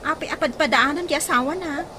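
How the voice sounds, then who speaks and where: Lady doing nosy scolding gossip, ilocano accent, high voice, really noisy background. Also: fast talker, close to an everyday voice. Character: nosy, judgmental village woman, scolding gossip. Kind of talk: Ilocano radio comedy drama acting. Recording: loud background noise, animal sounds.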